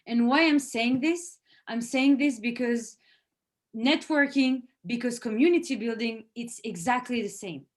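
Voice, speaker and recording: A woman's voice: a little high, unhurried. A woman, a head of sales, giving an earnest presentation over a video call. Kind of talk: virtual presentation. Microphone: video call microphone.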